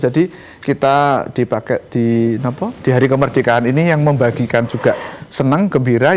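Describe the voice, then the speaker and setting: A man's voice: on the high side, darkish tone. A man with a Javanese accent presenting material in an online meeting, explaining step by step and dropping Javanese phrases. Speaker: presenter of a training session.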